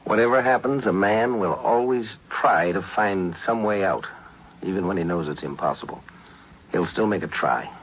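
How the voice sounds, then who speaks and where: A man narrating a 1950s radio drama as its host, in a deliberate, solemn scripted delivery. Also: low-pitched, over music. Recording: noisy, muffled 1950s radio recording.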